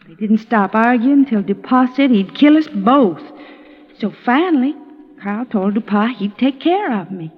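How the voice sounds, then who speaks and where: A woman's voice: fairly high pitch, animated, phone-quality sound. Frightened girl, thin echoing sound. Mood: shaken, confessing. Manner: plain, halting, ungrammatical. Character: frightened, uneducated young working-class woman. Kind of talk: 1950s radio drama acting. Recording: thin, echoing old radio recording.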